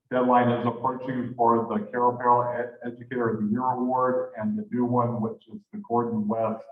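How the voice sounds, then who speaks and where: A man making casual announcements at a club meeting, talking in a loose, conversational way and correcting himself as he goes. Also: fairly low pitch. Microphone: handheld microphone held at a distance. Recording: tinny, echoey room from far off.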